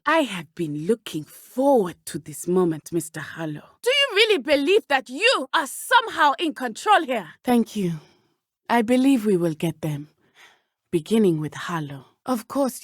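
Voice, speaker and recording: A woman's voice: on the high side, fairly bright tone, no echo, studio quality, exaggerated voice. A woman playing a brave, earnest teenage witch in a video game, with a southern African accent and formal, precise phrasing. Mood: defiant, determined. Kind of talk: video game character dialogue.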